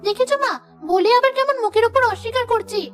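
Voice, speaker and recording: A woman's voice: extremely high pitch, fast talker, faint music behind. Woman playing a snippy spoiled stepsister. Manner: snippy, taunting.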